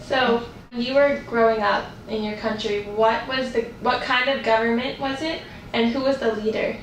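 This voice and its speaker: A woman's voice: fairly high pitch, fairly even intonation, normal speed. A woman interviewer asking questions in an interview. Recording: very echoey, distant mic, faint noise.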